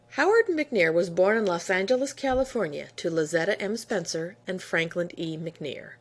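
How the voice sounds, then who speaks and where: Female announcer, lowish pitch, scripted biographical narration.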